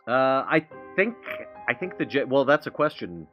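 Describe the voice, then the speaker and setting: A man playing a tabletop roleplay, describing things in a halting, detailed way with many pauses. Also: medium pitch, sing-song, lo-fi sound, soft background music. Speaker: player.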